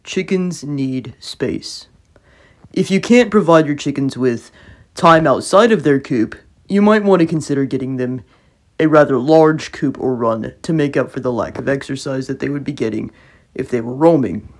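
A man narrating an instructional voice-over as the channel host, in a clear, steady teaching manner. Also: medium pitch, moderate pace, no room sound.

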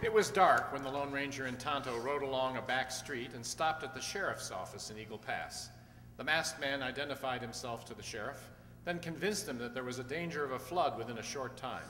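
A man's voice: medium pitch, a little fast, pushed and bright, soft background music. An older man reading scripted narration for an old-time radio drama on a stage. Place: stage in a hall. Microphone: stand microphone. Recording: echoey hall, long reverb.

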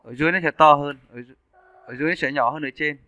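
A man giving a casual, step-by-step software tutorial as an animation instructor. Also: a little high, very fast, dry recording. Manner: informal step-by-step explaining. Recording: muffled narrowband phone-like audio.

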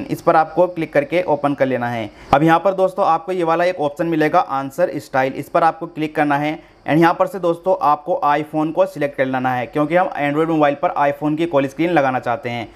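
A man hosting a tech tutorial, explaining phone steps one by one and addressing viewers directly in an instructional way. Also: fairly high pitch. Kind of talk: step-by-step phone tutorial narration.

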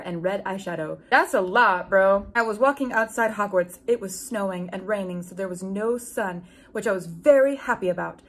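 A young woman hosting a video from a room at home. Her voice sits at a medium pitch, and she talks in an informal, wry way with animated delivery, whether chatting or reading aloud. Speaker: YouTube host. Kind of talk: casual chat and reading fan fiction aloud with asides. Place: small room at home with bookshelves.

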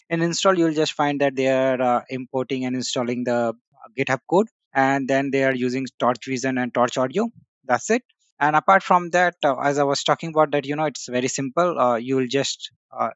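A man's voice: medium pitch, a bit bright, dry recording, muffled recording. A man hosting a casual screen-share tech tutorial, talking with many "uh" and "you know" fillers. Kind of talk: screen-share software walkthrough.

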